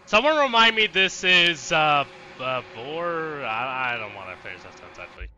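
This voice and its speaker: A man's voice: a little high, big pitch swings, moderate pace, sharp tone, lo-fi sound. A man streaming a let's play, giving casual, irreverent commentary with plenty of swearing. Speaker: game streamer. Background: faint music.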